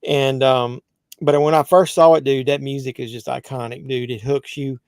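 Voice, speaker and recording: A man in his fifties hosting a casual live movie chat, relaxed, reading viewer comments aloud. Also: mid-range voice, moderate pace, dry recording. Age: 50s. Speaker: YouTube movie channel host.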